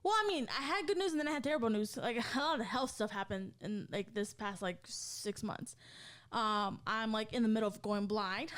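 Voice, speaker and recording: A woman in her thirties co-hosting a podcast, chatting casually and rambling with lots of fillers and frequent laughs. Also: medium pitch. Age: 30s. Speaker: podcast co-host. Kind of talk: casual chat.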